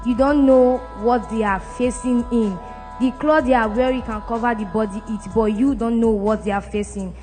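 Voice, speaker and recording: A woman's voice: on the high side, fairly even intonation, normal speed, dark tone, soft background music. Earnest Nigerian woman giving testimony. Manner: plain, earnest, with restarts.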